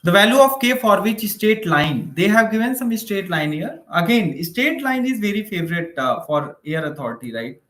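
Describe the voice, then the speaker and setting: Male maths teacher, Indian accent, slightly high voice, informal explanatory delivery with frequent check-in tags, noticeably echoey room. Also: sing-song, moderate pace, hardly any pauses, fairly bright tone. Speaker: maths teacher for exam preparation. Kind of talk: informal online lecture, working through problems. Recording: roomy, echoing room sound.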